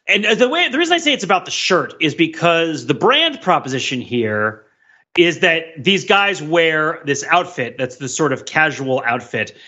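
A man's voice: fairly high pitch, very animated, moderate pace, sharp tone, muffled recording. A man talking casually and analytically as a podcast panelist, working through his points in a discursive way. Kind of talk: casual pop culture analysis.

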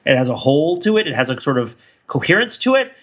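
A man with a fairly high, bright and forceful voice talks as a podcast co-host. He thinks aloud in loose, rambling riffs. The recording sounds a little dull and lo-fi. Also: sing-song, fast, slightly rough. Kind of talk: casual chat, rambling riffs.

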